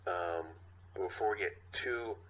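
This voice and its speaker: A man's voice: low voice, slow, a bit bright, low-quality recording. A man co-hosting a comedy podcast in casual banter, with some noise in the recording. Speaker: podcast co-host.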